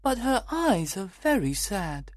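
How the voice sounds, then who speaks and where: A high, earnest orphan boy. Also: sing-song, takes it slowly, no echo, full character voice. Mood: admiring. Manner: earnest, polite. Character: gentle frightened young orphan boy. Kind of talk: storybook reading.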